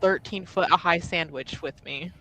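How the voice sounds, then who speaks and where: A tabletop roleplaying player improvising casually in character, halting at times and easily given to giggles. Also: medium pitch, pushed and bright, low-quality recording. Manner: giggly, breaks into laughter.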